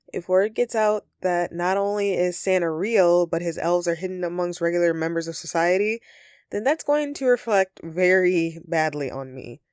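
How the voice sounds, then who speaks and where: A woman's voice: lowish voice, a little mellow, clear, resonant tone, no room sound, studio quality, lightly acted. A woman playing a flustered, friendly Christmas elf secretly living as a roommate, speaking hesitantly and earnestly in an audio roleplay to the listener. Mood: earnest, insistent. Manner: hesitant, sheepish, conversational and earnest.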